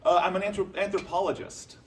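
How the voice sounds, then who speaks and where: A man's voice: sits high, fairly even intonation, brisk, distant mic, close to an everyday voice. A man playing an awkward, eager, nerdy outsider in a comedy table read, hesitant and wordy. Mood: flustered. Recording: noisy live room, off mic.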